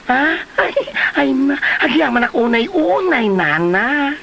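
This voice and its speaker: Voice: sits high, very animated, slow, full character voice. An actor playing a touchy, boastful, flamboyant gossip with a grudge in a comedy drama, exclaiming and sighing in an Ilocano accent. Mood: gleeful. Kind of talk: colloquial comedy drama acting. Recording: clear background noise.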